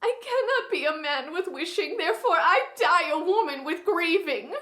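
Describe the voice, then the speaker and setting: A woman performs a young noblewoman of the classical stage, wounded and enraged. Her voice is raised high and impassioned, stretching words in anguish. It rings in an echoey room. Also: expressive, fairly bright tone. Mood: despairing. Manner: impassioned, anguished theatrical delivery with drawn-out words. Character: furious, grief-stricken young noblewoman in a Shakespeare play.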